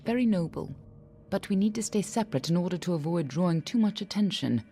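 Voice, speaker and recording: A woman's voice: on the low side, expressive, brisk, warm tone, husky, faint music behind. An actress playing a cool, self-reliant agent in a fantasy game, dry and guarded in how she talks. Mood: dry, reassuring. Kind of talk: dramatic video game dialogue.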